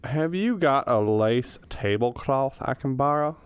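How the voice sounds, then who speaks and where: A man's voice: medium pitch, animated, measured pace, clear, resonant tone, no room sound, lightly acted. A man acting a self-mocking history professor who grumbles in florid, wry phrases in a radio comedy. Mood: sheepish, wry.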